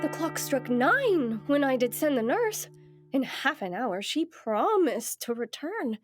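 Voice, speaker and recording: A woman's voice: sits high, big pitch swings, slow pace, music in the background, exaggerated voice. A woman acting an impatient, lovestruck young noblewoman in classical Shakespearean stage style, eager and pleading. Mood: impatient longing.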